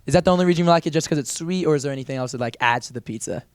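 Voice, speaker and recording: Quick, chatty teenage boy anchor. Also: on the high side, clean tone. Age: teens. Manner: upbeat, quick, chatty questioning. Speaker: student news anchor and interviewer. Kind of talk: casual school broadcast interviews.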